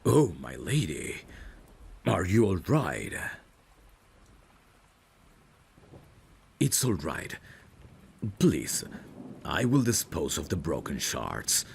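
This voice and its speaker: A man playing a courtly, caring vampire butler in an ASMR audio drama, speaking in a formal, deferential and gently solicitous way. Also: low voice, very animated, takes it slowly, gravelly, long pauses, a little hiss or hum, exaggerated voice. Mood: soothing, concerned. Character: courtly, caring male vampire butler, soft-spoken and protective.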